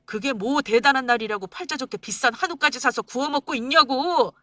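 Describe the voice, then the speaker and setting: A woman voicing an angry, stingy husband who shouts and sneers at his wife. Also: high-pitched, fairly quick, dry recording, clean studio sound, voice pushed up. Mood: scornful. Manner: shouting, scornful and bitter.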